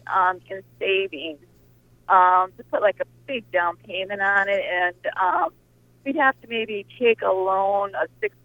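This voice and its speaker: A woman's voice: medium pitch, no room sound. A woman in her sixties calling in to a radio show, asking her question with many hesitant fillers. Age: 60s. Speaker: caller. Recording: phone-line caller audio.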